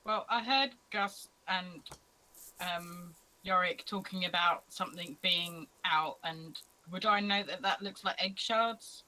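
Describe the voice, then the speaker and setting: A curious player asks questions about the scene at a tabletop game. The voice sounds bright and forward. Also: medium pitch, slow, poor audio. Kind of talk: casual play at a tabletop game.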